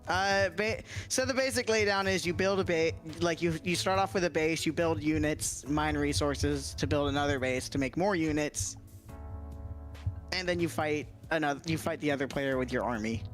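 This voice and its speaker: A man's voice: a little high, normal speed, a bit bright. A man chatting casually on a gaming livestream and reading out game text, with an easygoing, jokey, slangy manner. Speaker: co-streamer and guest voice actor. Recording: music playing behind the voice.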